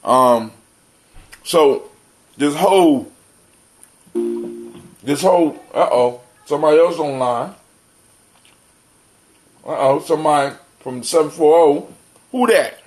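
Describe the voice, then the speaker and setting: A man's voice: a little high, takes it slowly, pauses often, a little mellow, low-quality recording. An American man hosting a live community talk show, chatting casually and in a folksy way to his listeners like family. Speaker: host of a live call-in show.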